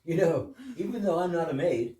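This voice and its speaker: A man playing a polite, pedantic gentleman guest in an amateur readers theater absurdist comedy, speaking formally. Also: fairly high pitch, lively intonation, moderate pace, lightly acted. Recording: roomy, distant mic, faint noise.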